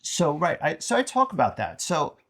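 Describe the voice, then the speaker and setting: A man co-hosting a podcast as an interviewed author, talking in a casual, reflective way and haltingly thinking aloud. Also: fairly high pitch, huge pitch swings, a bit bright.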